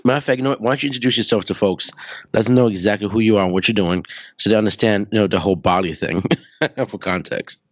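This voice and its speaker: A man hosting a podcast in a relaxed, blunt way with casual swearing, his voice still recovering after he lost it. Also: fairly low pitch, fast talker, no echo, highs cut off. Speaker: podcast host. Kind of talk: casual interview chat.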